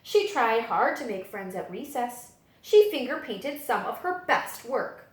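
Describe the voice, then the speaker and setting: A woman reading children's picture books aloud as a story-time librarian, with dramatic, expressive storytelling. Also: on the high side, measured pace. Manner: dramatic, animated storytelling delivery. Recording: echoey room.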